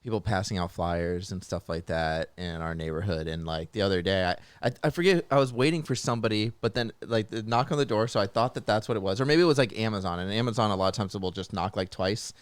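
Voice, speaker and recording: Male podcast host, conversational, smooth tone, dry sound. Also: lowish voice, quick pace, hardly any pauses, no room sound. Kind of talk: casual chat.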